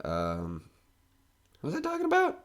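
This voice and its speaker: A man's voice: on the high side, wildly swooping pitch, moderate pace. A man playing a nervous, skeptical broadcaster alone in a haunted house in an audio horror drama, rambling and joking anxiously. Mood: unravelling, giddy.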